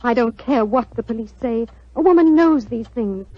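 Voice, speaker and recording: An actress playing an earnest, anxious young English gentlewoman pleading politely for help in a 1940s radio drama. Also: a little high, expressive, moderate pace, dry recording. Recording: muffled 1940s radio broadcast.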